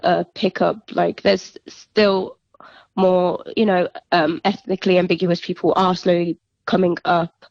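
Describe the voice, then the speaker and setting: A woman's voice: on the low side, level tone, a little slow, vocal fry, darkish tone, dry recording. A young woman, a singer-songwriter, chatting casually and reflectively as a guest on a phone interview. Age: young adult. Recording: dull, lo-fi phone interview line.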